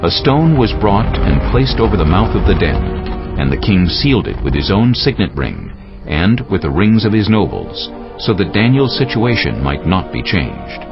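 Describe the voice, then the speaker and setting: A man's voice: low voice, sing-song, normal speed. A man narrating a dramatized scripture story as a scripted reading. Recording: muffled audio with music bed.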